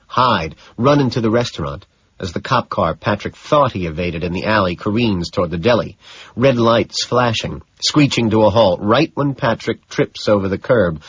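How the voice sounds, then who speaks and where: Low-voiced male audiobook narrator, slightly husky. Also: lo-fi sound. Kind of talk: dramatic scripted narration of a novel.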